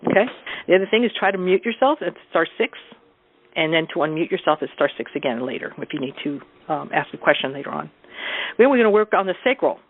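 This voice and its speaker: A woman's voice: sits low, expressive, quick pace, slight background noise. A woman casually leading a group through a guided sound exercise on a teleconference, instructing informally and often checking in with her listeners. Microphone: telephone. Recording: muffled, narrow teleconference phone line.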